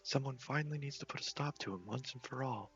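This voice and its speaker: A man voicing a distressed villager in a fantasy game, pleading earnestly and theatrically for an adventurer's help, in soft role-play. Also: medium pitch, fast, muffled recording, faint music behind, lightly acted. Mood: grave, anxious. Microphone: headset microphone.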